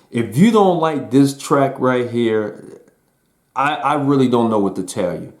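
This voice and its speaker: A man's voice: medium pitch, expressive, slow, darkish tone. A man giving a casual spoken music review in a laid-back, slangy way, peppering his talk with "man" and "um". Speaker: album reviewer.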